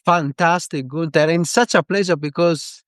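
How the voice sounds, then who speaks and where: A man's voice: on the high side, moderate pace, no room sound, clean studio sound. A man hosting an interview show, introducing his guest with effusive praise. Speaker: podcast host.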